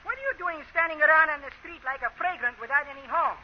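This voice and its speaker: A muffled vintage radio recording carries an excitable immigrant in a very high voice. He rattles along, exclaiming and mangling his English in broad 1930s comedy style. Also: fast, a little hiss or hum. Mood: excited surprise. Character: excitable Greek immigrant comic who garbles his words.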